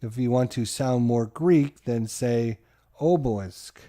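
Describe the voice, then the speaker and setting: Guy doing excited play-by-play of his solo board game, hypes up every move, middle-aged. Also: medium pitch, takes it slowly. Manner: hyped, sports-announcer style play-by-play to viewers. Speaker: board game channel host.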